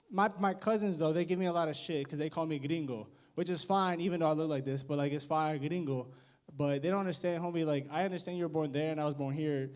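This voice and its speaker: Young guy doing standup, awkward self-roasting, laughs a lot, slightly high voice. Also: a little mellow. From United States. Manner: self-deprecating, awkward, laughs at his own jokes. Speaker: stand-up comedian. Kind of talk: stand-up comedy set.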